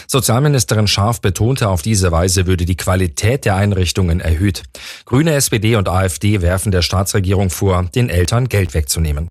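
A man reading scripted radio news as an anchor, with a husky voice. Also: sits low, no echo, studio quality.

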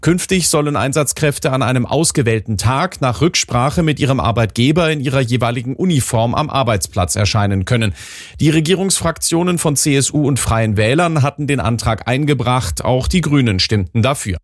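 A male newsreader presents a formal scripted bulletin. His voice is medium in pitch and recorded very dry. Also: lively intonation, a little fast, no echo. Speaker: radio newsreader.

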